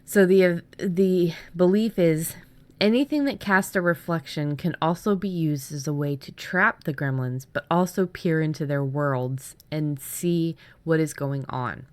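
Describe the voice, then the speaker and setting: A woman's voice: deep voice, unhurried, smooth. A woman co-hosting a writing workshop, casually chatting as she shares her story ideas. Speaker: writer and workshop co-host.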